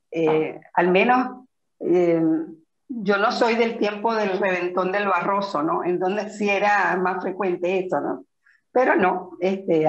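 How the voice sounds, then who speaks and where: Echoey Venezuelan woman reminiscing. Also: mid-range voice. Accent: Venezuelan, from Zulia. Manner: reflective, many fillers and restarts. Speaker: interview guest. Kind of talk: conversational interview. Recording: echoey, dull lo-fi audio.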